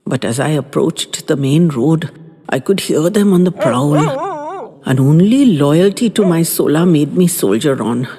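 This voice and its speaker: A woman tells a first-person story in a gentle, reminiscing voice that is low, warm and slightly husky. Her pitch rises and falls with lively expression, and a long reverb trails behind her words. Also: a little slow. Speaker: narrator. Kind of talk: scripted storytelling narration.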